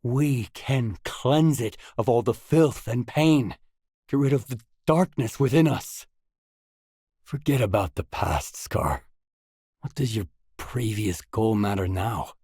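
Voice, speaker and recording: An actor playing a determined, brooding stalker in a grim video game, with a measured, serious, understated delivery. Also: male voice, mellow, dry recording, studio quality, heavily acted. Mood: intense, persuasive. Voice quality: low, husky. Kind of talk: game voice acting, isolated dialogue lines.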